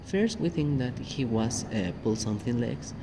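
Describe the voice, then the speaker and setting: A young Mexican man speaks English with a Spanish accent and a middling pitch, filling his sentences with halting "uh" pauses as he tells a tale. The recording is thick with steady background noise. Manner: halting, many "uh" fillers. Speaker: podcast co-host. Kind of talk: casual storytelling chat.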